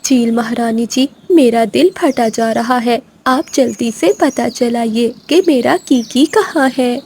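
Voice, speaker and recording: Casting: actress for worried cartoon mother pigeon, fairly high voice, earnest, birdsong and light noise behind. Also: unhurried, a little mellow. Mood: worried, pleading. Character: anxious, respectful mother pigeon. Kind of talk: animated moral-story cartoon dub.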